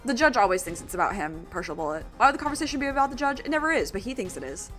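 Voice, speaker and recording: A woman streamer chatting casually over a game, hoarse from losing her voice, giggly and rambling. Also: a little high, sing-song, rapid-fire, fairly bright tone, soft background music. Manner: giggly, rambling and self-deprecating. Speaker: let's play streamer.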